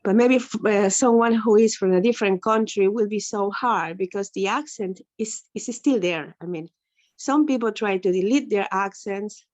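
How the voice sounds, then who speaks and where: A woman's voice: mid-range voice, normal speed. Ecuadorian-accented woman on a muffled call. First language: Spanish. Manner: thoughtful, self-correcting, gently explanatory. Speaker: English teacher, webinar participant. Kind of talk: spontaneous comment in a Q&A. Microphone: computer mic on a video call, camera off.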